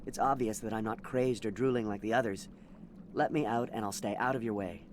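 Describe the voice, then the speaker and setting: An actor playing a weary, haunted alien prisoner in a video game, speaking in a subdued, bitter way. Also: male voice, fairly low pitch, brisk, slight background noise, natural voice. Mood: pleading.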